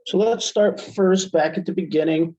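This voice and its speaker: A middle-aged man presenting a software tutorial webinar in a halting, explanatory way, recorded on a headset microphone. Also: high voice. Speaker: webinar presenter, college media specialist. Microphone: headset microphone on video call. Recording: dull, lo-fi webinar headset audio.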